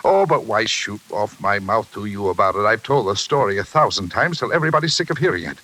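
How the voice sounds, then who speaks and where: An actor playing a self-important middle-aged railroad tycoon with a troubled conscience, brusque and emphatic in 1940s radio style. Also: male voice, on the low side, animated, quick pace, bright, forceful tone, low-quality recording. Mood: troubled, confiding. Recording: hissy old radio recording.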